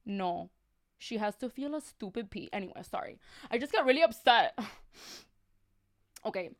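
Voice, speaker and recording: A woman storyteller hosting a comic live reading. Her voice is on the high side. She mixes narration with sassy, slangy, exasperated asides. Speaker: storyteller, live stream host.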